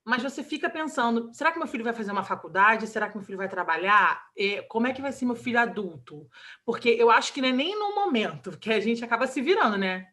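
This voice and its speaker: A woman in her thirties with a Brazilian Portuguese accent, an interview guest talking about her child, emotional and halting, with many restarts. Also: on the high side, lively intonation, a bit bright. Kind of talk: candid personal interview.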